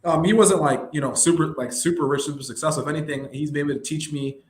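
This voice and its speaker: A man's voice: a little high, steady tone, quick pace. A young man from New York City, a wealth management advisor, talking casually and at length as a guest, with lots of fillers and an upbeat, self-promoting manner. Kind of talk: casual interview conversation. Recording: roomy echo with faint background hum.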